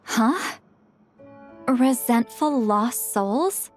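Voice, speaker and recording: A young female shaman from a video game talks in a high, casual voice. She is curious and quietly sure of herself, over light background music. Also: lively intonation, extremely slow. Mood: curious, puzzled. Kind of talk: English game dub acting. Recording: background music under dialogue.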